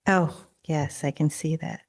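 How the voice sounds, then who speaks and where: Low voiced board trustee lady, english second language, lots of ums and restarts. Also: steady tone. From Daly City, California. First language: not English. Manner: halting, many fillers and restarts. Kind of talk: board meeting remarks. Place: district office board room.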